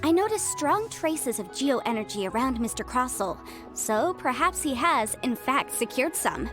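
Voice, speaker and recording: Self-assured young legal adviser, video game, high articulate voice, formal, music behind. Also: female voice, big pitch swings, moderate pace, a bit bright. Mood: candid. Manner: articulate, formal, confident explaining. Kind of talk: video game character acting. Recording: clear background music.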